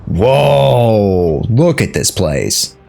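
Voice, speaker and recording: A young man giving casual live gameplay commentary as a streamer, playful, slangy and quick to react, often talking to himself. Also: fairly low pitch, sing-song, creaky, mellow. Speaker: game streamer. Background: faint game music.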